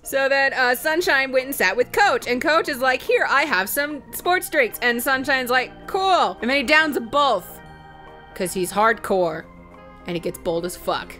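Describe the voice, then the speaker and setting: A woman with a bright, fairly high voice recaps a video game as a let's play host. She tells it casually and theatrically, slipping into character lines and salty slang. Music plays underneath her voice. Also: animated, a little slow. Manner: giggly, theatrical, mimicking characters in quoted dialogue; profane, slangy.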